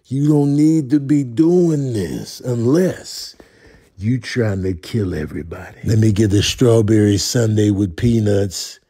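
A male comedian giving a comedic rant in slangy, emphatic, deadpan exasperation. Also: lowish voice, a little slow, husky. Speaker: comedian commentator.

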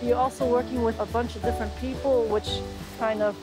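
A woman's voice: fairly high pitch, steady tone, a little fast. A young woman, an architect raised in Los Angeles, talking reflectively outdoors in an interview. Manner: reflective, with frequent fillers. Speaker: resident artist and architect. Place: outdoors on a farm. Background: background music.

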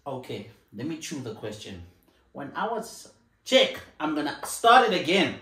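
A man's voice: fairly high pitch, big pitch swings, a little slow, fairly bright tone. Insistent man arguing on a couch. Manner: insistent, repeats himself, laughs a lot. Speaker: co-host of a couple's channel. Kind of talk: casual argument on a couch. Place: living room, sitting on a sofa. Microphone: far camera. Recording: echoey living room, camera mic.

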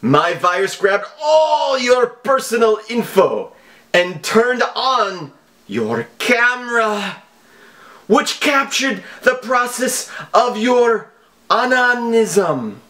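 An evil villain hacker with a made-up foreign accent. His voice is high and swoops widely, and he delivers threats slowly and theatrically in broken English, with boastful menace. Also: very animated, sharp tone, full character voice. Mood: menacing. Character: evil villain hacker, menacing, over-dramatic male scammer.

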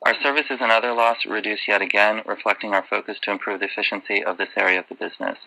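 A man delivering prepared earnings call remarks as a finance executive, in a formal, measured way. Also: lowish voice, steady tone, creaky, sharp tone, poor audio.

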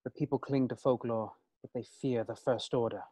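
A man's voice: mid-range voice, fairly even intonation, fairly quick, warm tone, dry recording, natural voice. A man playing a proud, uneasy military chancellor in a script read, clipped and formal. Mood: defensive, formal. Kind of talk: fan table read of a film script.

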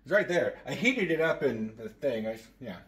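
A man's voice: medium pitch, big pitch swings, moderate pace, a bit bright, breathy edge. A man in his thirties rambling casually with jokey asides, as in a vlog, recorded at arm's length in an apartment. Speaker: vlogger. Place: apartment living room and open kitchen. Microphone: handheld camera at arm's length.

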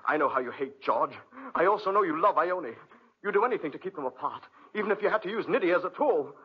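A hot-headed young man in an old radio drama, urgent and indignant. He talks fast and with lively swings in a rough-edged voice, on a slightly muffled recording. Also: fairly high pitch. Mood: angry, accusing. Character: hot-headed, accusing young brother protecting his sister. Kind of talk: 1940s radio acting.

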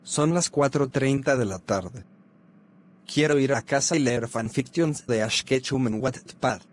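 A man voicing a bratty bald cartoon boy who mocks grown-ups, with a flat, sneering delivery over music. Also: mid-range voice, a little slow, lightly acted. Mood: smug. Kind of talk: fan-made cartoon dub.

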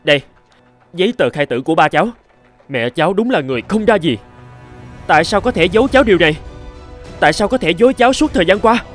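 Teenage cartoon son, fairly high voice, resentful, ambitious, impatient, accusing. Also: male voice, animated, quick pace, pauses often, soft background music. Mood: furious, accusing. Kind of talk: animated fairy-tale dubbing.

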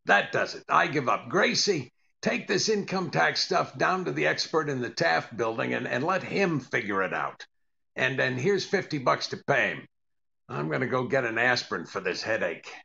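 An older man playing a harried, wisecracking husband in a 1940s-style radio comedy, with a gravelly voice. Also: mid-range voice, animated, moderate pace, bright, forceful tone, heavily acted. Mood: frustrated. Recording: slightly dull online-call audio.

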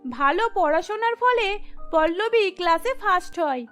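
High-pitched woman narrating a cartoon story. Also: animated, moderate pace, a bit bright, faint music behind.

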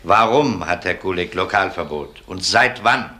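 A sardonic detective in a crime radio play. His low voice is bright and forceful, with a dry, ironic authority. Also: lively intonation, a little slow, exaggerated voice. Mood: dry, probing.

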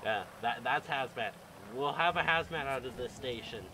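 A man's voice: mid-range voice, moderate pace. Young male streamer, loose and profane, voice-chat banter, faint hiss behind. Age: young adult. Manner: casual, swears often, laughs easily. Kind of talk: casual gaming chat over voice comms.

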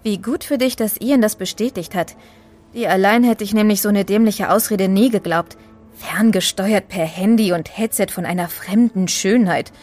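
An actress as a feisty young hacker woman in a thriller radio play. She speaks at a medium pitch in a sassy, commanding, teasing way, over faint music. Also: moderate pace, darkish tone, lightly acted. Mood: indignant. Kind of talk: audio drama dialogue.

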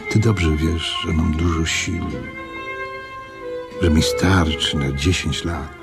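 Weary old innkeeper in a radio play, solemn n slow, super low voice. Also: male voice, fairly even intonation, gravelly, loud music behind the voice, full character voice. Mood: pleading. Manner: solemn, measured. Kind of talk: Polish radio drama narration and monologue.

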